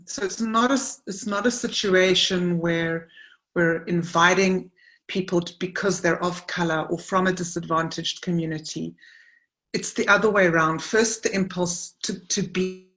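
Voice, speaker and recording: A woman from South Africa hosting a women's retreat circle on a video call, gentle and earnest, often restarting her sentences. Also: fairly low pitch, fairly even intonation, normal speed. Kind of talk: informal online circle chat.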